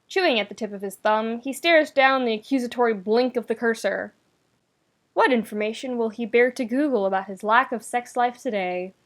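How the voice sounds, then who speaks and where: A woman reading fiction aloud as a narrator, with a wry, conversational and emphatic delivery. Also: a little high. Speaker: podfic reader, narrator. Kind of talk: scripted narration of fan fiction, expressive audiobook reading.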